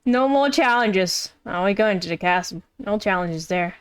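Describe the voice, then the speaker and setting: A woman's voice: medium pitch, expressive, normal speed, clean tone. A woman streaming a video game with casual, rambling commentary, playfully repeating her phrases. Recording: game music behind. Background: game music.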